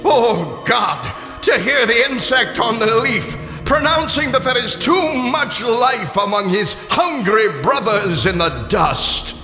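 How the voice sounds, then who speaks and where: Man voicing a grave, slow-spoken Christmas spirit. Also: very high-pitched, big pitch swings, bright, forceful tone, echoey room, long reverb tail, faint music behind, pitched above the performer's own voice, exaggerated voice. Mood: stern indignation. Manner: grave, declamatory, drawn-out delivery. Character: solemn, indignant ageing male Christmas spirit. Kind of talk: audio drama acting.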